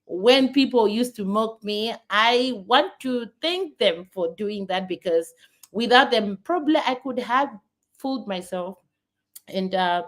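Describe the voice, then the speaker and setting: A woman's voice: mid-range voice, expressive, unhurried, fairly bright tone. Earnest Zimbabwean woman vlogging. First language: not English. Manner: earnest, talks in restarts and repeats. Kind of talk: casual talk to camera.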